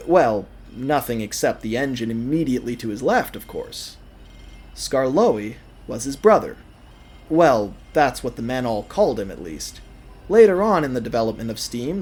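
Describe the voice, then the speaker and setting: Animated male storyteller, audio drama narration. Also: mid-range voice, breathy edge. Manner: theatrical, deliberate phrasing.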